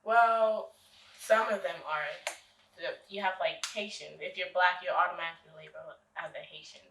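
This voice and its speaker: A young American woman talking as a guest, relaxed and halting with many fillers. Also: fairly low pitch, roomy sound, recorded from a distance, a little hiss or hum, rough recording. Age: young adult. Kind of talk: casual interview.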